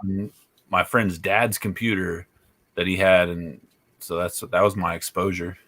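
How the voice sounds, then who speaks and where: A man in his forties from rural Oklahoma, chatting casually on a podcast. His voice sits low, and he tells his stories in a relaxed, rambling way. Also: unhurried, no echo. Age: 40s. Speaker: podcast co-host. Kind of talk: casual chat.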